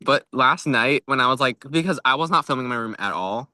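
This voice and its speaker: A man's voice: medium pitch, lively intonation, a little fast, bright, forceful tone, dry recording, studio quality. A young man co-hosting a podcast, chatting informally and peppering his talk with "like.". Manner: chatty, informal, frequent 'like'. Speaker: podcast co-host. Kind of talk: casual podcast chat.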